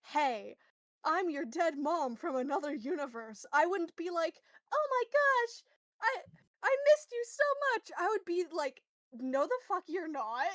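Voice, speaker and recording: A woman's voice: very high voice, sing-song, unhurried, a bit bright, clean studio sound. A woman chatting casually and animatedly with friends as a player in a tabletop role-play game, swearing freely. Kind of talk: casual group chat about a game. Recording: roomy echo, music behind.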